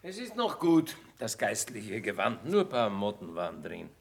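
An actor playing a touchy, excitable and somewhat prim army chaplain in a radio drama. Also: male voice, lowish voice, normal speed, creaky, husky, heavily acted. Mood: eager. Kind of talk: German stage-style radio drama acting.

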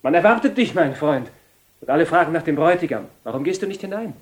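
A man's voice: on the high side, lively intonation, quick pace, natural voice. An actor playing a loyal, puzzled young nobleman in a theatrical radio drama. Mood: puzzled concern.

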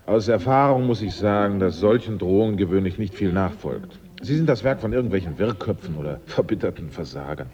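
A man's voice: low-pitched, lively intonation, a little fast, hardly any pauses, darkish tone, long reverb tail. A man acting in a German radio drama as an amiable insurance detective with a matter-of-fact manner. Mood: dry, amused. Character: dry, easygoing insurance detective. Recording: dull radio recording, background voices.

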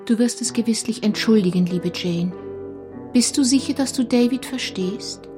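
An actress playing a gentle, timid young mother in a radio play, tentative and apologetic. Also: on the high side, normal speed, music in the background, close to an everyday voice. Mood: meek pleading. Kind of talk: literary radio play acting.

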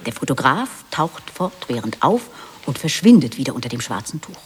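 A man's voice: mid-range voice, very animated, moderate pace, slight background noise, lightly acted. A man playing a matter-of-fact narrator who reads stage directions in a radio play.

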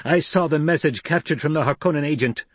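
Man voicing a gruff, vengeful warrior, pitched up and forceful. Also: fast, no room sound. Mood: insistent, snarling. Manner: barked, menacing commands. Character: hard vengeful fighting man, gruff and threatening. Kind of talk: dramatised audiobook narration.

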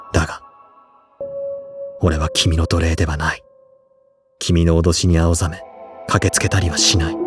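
An actor playing a serious, restrained and tormented high-school boy in a Japanese audio drama, speaking in a solemn, measured way. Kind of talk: Japanese audio drama acting, inner monologue and dialogue.

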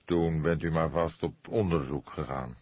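Audiobook role: old male inspector, deep and husky, probing, measured, wry read by a man. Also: fairly even intonation, a little mellow, no room sound. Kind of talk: audiobook character dialogue.

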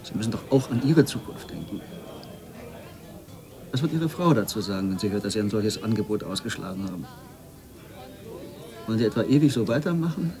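A man's voice: fairly low pitch, lively intonation, a little fast, pauses often. Reproachful, pressuring man amid chatter. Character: pressuring, reproachful male magazine promoter chasing an editor. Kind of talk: German radio drama acting.

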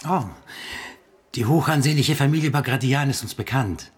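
An actor playing an unhurried, falsely courteous government official in a radio drama. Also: male voice, mid-range voice, noisy background, natural voice. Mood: smooth, evasive.

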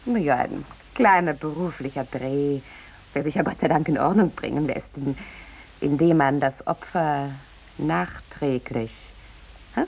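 An actress playing a brazen, self-serving newspaper reporter in a 1950s German radio satire, with an insistent, glib, theatrical manner. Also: female voice, low voice, a little slow, vocal fry, darkish tone, breathy edge, full character voice, rough recording. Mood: coaxing.

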